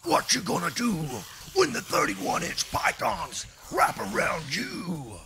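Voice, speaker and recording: A man putting on the voice of a slow, boastful wrestler who taunts his opponent. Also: sits high, huge pitch swings, vocal fry, sharp tone, slight background noise, voice pushed up, exaggerated voice. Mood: taunting. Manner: drawn-out bragging taunt. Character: slow gravelly wrestler-style male showboat.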